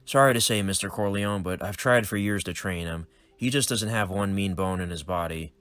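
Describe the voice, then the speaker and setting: A man's voice: low voice, normal speed, fairly bright tone, smooth, no echo. A man playing a weary hitman dad. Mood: calm. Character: weary middle-aged hitman father, protective.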